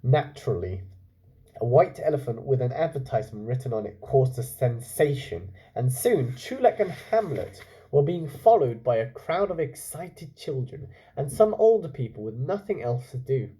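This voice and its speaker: A man reading a story aloud to children like a teacher, expressively, pausing to ask them questions. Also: mid-range voice, rough recording. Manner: expressive, pauses to question listeners. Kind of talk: storytelling read-aloud with asides and questions to young listeners.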